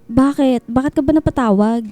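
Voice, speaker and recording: A woman's voice: sits high, brisk, mellow, no room sound, close to an everyday voice. A woman playing a smitten, teasing, naive young woman with a Filipino accent in a radio drama. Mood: suspicious, curious.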